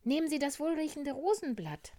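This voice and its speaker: A woman voicing a small, eager, curious young male bear in an excitable way for a children's storybook. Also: sits high, normal speed, no echo, voice pushed up. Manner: excitable, exclamatory.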